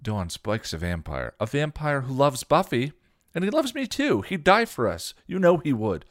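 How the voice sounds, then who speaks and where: A man's voice: fairly high pitch, big pitch swings, fairly quick, no room sound, clean studio sound, pitched above the performer's own voice. A man playing an earnest teenage sister. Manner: earnest, pleading.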